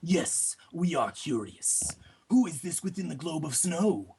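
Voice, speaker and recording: A man's voice: on the high side, sing-song, measured pace, darkish tone, a little hiss or hum. A man voicing an imperious pony princess who speaks in formal, archaic royal phrasing, in an amateur story reading.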